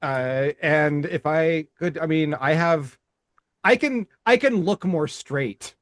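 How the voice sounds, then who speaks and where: Slow, halting man on a podcast. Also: a little high, no echo, clean studio sound. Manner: halting, restarts often, stammering. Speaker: podcast co-host. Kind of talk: casual chat.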